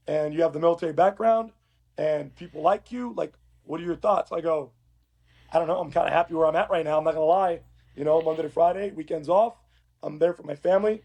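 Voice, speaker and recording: A man with a raspy, hoarse voice chatting casually and recounting his work as a police instructor. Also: fairly high pitch, darkish tone. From Uruguay. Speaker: podcast guest, police academy instructor. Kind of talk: casual conversational storytelling.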